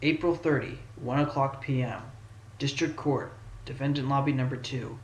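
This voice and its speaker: A man playing a sly, quick-witted fox defense lawyer in an amateur audio drama. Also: mid-range voice, fairly even intonation, slight background noise, natural voice. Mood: brooding. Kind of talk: amateur audio drama acting with first-person narration. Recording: roomy echo under loud music.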